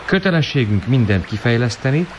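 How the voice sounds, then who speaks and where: Radio play role: earnest, commanding schoolboy played by a man, animated, clear noise behind. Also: mid-range voice, muffled recording, lightly acted. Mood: solemn. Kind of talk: radio drama acting.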